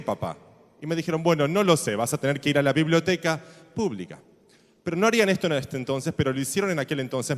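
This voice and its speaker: A man with a slightly high voice gives a measured testimony lecture to a congregation. His voice carries a long reverb from a large hall.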